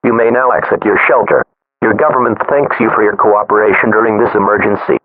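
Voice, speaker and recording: A man playing a formal, impersonal emergency alert announcer reading a scripted broadcast in a measured way. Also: on the low side, steady tone, lightly acted. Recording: noisy, phone-like emergency radio broadcast.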